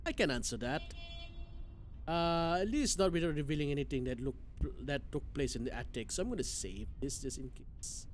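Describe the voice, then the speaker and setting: Animated high-voiced male game streamer. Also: normal speed, slight background noise. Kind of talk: reading game narration aloud with side comments.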